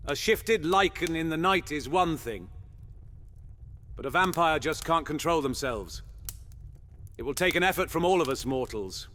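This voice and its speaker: Bright, theatrical medieval monster hunter played by a man. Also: fairly high pitch, animated, normal speed, long pauses, slight background noise. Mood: zealous. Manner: theatrical, old-fashioned phrasing. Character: male medieval monster hunter, chatty, zealous vampire hater. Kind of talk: fantasy audio roleplay, in character.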